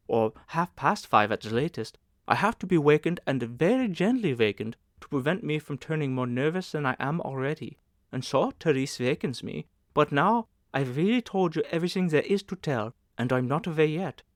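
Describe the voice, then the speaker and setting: A man's voice: a little high, no echo, clean studio sound, close to an everyday voice. A man voicing a kindly, bustling, talkative older woman who manages a hotel, in a motherly, emphatic manner for an audiobook. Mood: weary, confiding.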